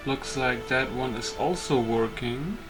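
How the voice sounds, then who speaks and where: A man's voice: mid-range voice, unhurried. A man giving casual let's play commentary as a gaming host, thinking aloud in a hesitant way. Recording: loud music behind the voice. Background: music.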